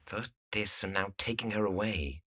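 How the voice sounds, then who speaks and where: A man playing an earnest, melancholy young gentleman architect in a period audio drama. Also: on the low side, normal speed. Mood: brooding, indignant.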